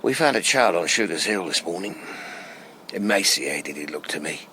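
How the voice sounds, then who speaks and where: An actor playing a civil, plain-spoken Victorian police constable with a deferential, folksy manner in a radio drama. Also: male voice, deep voice, animated, moderate pace, sharp tone, slight background noise, poor audio. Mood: civil, concerned.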